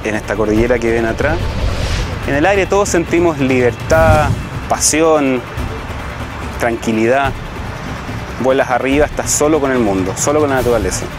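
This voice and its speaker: A glider pilot in his forties speaking calmly and reflectively in a short interview. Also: medium pitch, moderate pace, pauses often, over music. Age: 40s.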